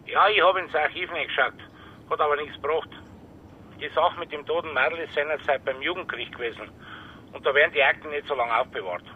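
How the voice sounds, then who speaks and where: A cautious Bavarian policeman passing on inside information. His voice is on the high side and informal. It comes through a thin, narrow telephone line with a faint rumble. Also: fairly quick. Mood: matter-of-fact. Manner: informal, confidential. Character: male police informant, confidential, cautious colleague. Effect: telephone filter. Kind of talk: naturalistic radio crime drama acting.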